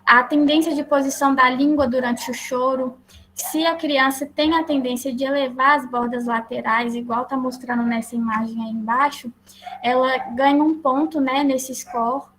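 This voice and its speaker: Woman, Brazilian accent, high voice, lecturer, halting explanatory delivery, some background noise. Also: fairly even intonation, fairly bright tone, low-quality recording. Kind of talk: slide presentation to health professionals.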